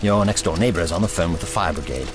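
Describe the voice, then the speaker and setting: A man plays a genteel, fussy gentleman adventurer in an audio drama. His pitch swings widely as he speaks in courteous, old-fashioned phrases. Music plays clearly behind him. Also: animated, quick pace. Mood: calm, composed. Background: background music.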